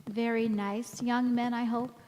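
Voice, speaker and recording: A woman's voice: a little high, flat delivery, measured pace, a little mellow, close to an everyday voice. An actress playing a wistful, ageless woman returning in secret, in an earnest old-time radio drama style. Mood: yearning. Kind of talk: 1940s radio drama acting on stage. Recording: echoey hall with faint noise.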